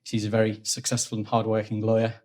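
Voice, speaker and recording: A Liverpool man in his forties tells stories at a relaxed pace in an office interview. His voice stays level and even, with little rise and fall. He often stumbles over and repeats words while he looks for the next thought. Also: fairly low pitch, fast talker. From Liverpool, England. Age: 40s. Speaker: guest, engineering executive. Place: office at company headquarters.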